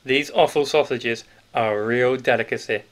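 A man's voice: mid-range voice, normal speed, lightly acted. A man voicing a stout, sly, cheerful male wartime grocer with a Yorkshire accent, in a knowing, conspiratorial manner. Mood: jovial.

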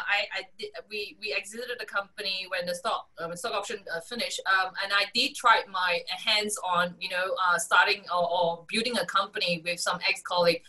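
A woman in her forties, raised in Singapore, telling her career story as a guest on a video call, animated and quick to laugh. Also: far from the mic, low-quality recording. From Malaysia, raised in Singapore. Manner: animated storyteller, laughs often, lively pitch swings. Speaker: guest, strategy consultant. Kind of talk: casual video-call interview.

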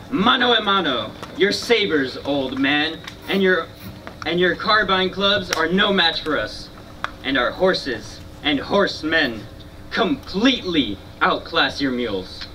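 A man's voice: on the high side, lively intonation, a little slow, pushed and bright. A man playing a proud, cocky Californio lancer, haughty and taunting, in a live historical dramatization. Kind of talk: live historical battle dramatization read from script. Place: outdoor stone amphitheater, far camera. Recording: noisy, distant outdoor recording.

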